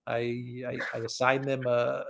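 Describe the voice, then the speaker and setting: A man's voice: mid-range voice, completely flat delivery, measured pace, creaky, a little hiss or hum. A man talking as a guest on a live stream, rambling, repeating words and laughing often. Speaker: guest commentator. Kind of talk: casual live-stream chat.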